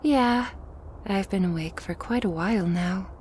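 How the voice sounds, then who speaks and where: Woman putting on a teasing new vampire girl, pitched up. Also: measured pace, a little mellow, a little hiss or hum, natural voice. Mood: coy. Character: Elise, playful, teasing newly turned young female vampire. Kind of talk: ASMR audio roleplay.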